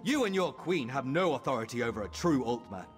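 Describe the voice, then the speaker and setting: A young, arrogant elf traitor , voiced by a man in a fantasy game. He is haughty and contemptuous in a medium-pitched voice. The sound has long reverb, with music behind it. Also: very animated, fairly quick, natural voice. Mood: scornful. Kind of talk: video game character acting.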